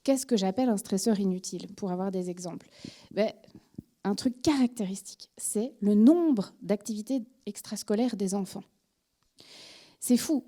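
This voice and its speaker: Woman in her forties from France, French accent with a Belgian tinge, warm mellow tone, chatty psychologist addressing a room. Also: medium pitch. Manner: chatty and animated, with many filler words and restarts. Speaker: psychologist giving a public talk on parenting. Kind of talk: informal lecture to an audience.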